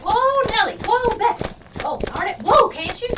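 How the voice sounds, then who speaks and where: A woman's voice: very high-pitched, lively intonation, normal speed, heavy background noise. A woman playing a brash, folksy, middle-aged rancher widow with an American West accent, blunt, bossy and slangy, in an old-time radio drama. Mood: exasperated.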